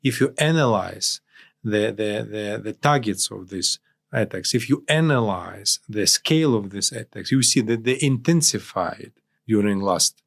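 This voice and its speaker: A man with a Ukrainian accent, a native Russian speaker, giving political commentary as an interview guest, haltingly searching for words and repeating phrases. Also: lowish voice, expressive, gravelly.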